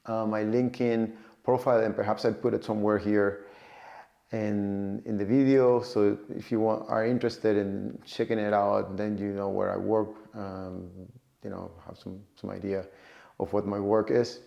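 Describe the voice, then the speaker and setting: A man's voice: fairly low pitch, flat delivery, normal speed, creaky, dark tone, dull audio. A man in his forties from Cuba, with a Cuban accent, talking casually about his own path into tech, with many fillers and repeated words. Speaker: data and AI tech professional. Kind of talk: casual personal talk to camera.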